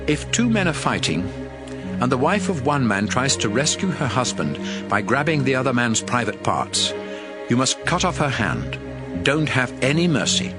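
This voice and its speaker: A man narrating scripture in a measured, authoritative manner for a dramatized audio production. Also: fairly low pitch. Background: music.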